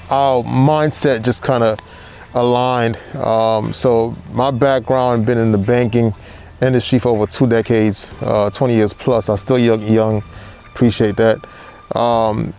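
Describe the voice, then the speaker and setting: A middle-aged man from Haiti, raised in New York, talks in an easy, unhurried way. His voice is dark and mellow, and he pauses often on small fillers as he tells his story on a podcast. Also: medium pitch. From born in Haiti, raised in New York. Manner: relaxed, steady, with frequent fillers. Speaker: podcast co-host. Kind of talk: casual chat.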